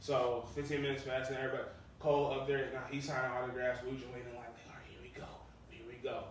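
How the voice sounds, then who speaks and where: A man's voice: medium pitch, little pitch movement, quick pace. An American man co-hosting a podcast, telling stories casually in slangy, profane language and acting out what people said. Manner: slangy, profane, acts out quotes. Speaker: podcast co-host. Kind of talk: casual animated storytelling among friends. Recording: very echoey room, distant mic, faint noise.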